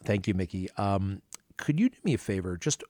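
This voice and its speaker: A man with a low voice hosts an interview, firing off quick questions that stumble through fillers and restarts. The sound is dry and close, with no room around it. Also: big pitch swings, darkish tone, no room sound. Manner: rapid, halting questions with fillers. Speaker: interview host. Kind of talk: podcast interview.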